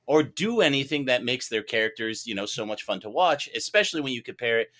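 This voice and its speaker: A man's voice: medium pitch, expressive, fast talker, a bit bright. A talkative, enthusiastic man in a casual, playful film debate as a podcast judge and juror.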